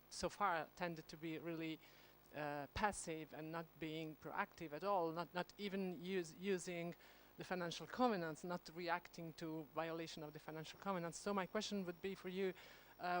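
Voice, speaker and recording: A woman's voice: fairly low pitch, slight background noise. A woman in the audience of a conference asking a long, earnest question with many restarts. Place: conference hall.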